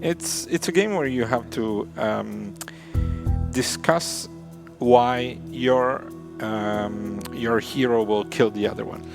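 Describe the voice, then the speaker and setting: A man from Italy with an Italian accent, a native Italian speaker, chatting casually as a co-commentator and pausing to find words. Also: mid-range voice, gravelly. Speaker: guest co-commentator. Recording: broadcast with music playing behind. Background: music.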